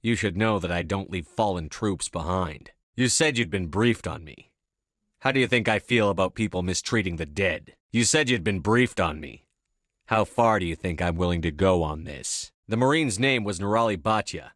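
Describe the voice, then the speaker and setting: A man's voice: low-pitched, expressive, normal speed, fairly bright tone, dry recording, studio quality. A man playing a commanding, no-nonsense military officer in a video game, speaking in a terse, direct, authoritative way. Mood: firm, insistent. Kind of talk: scripted game dialogue.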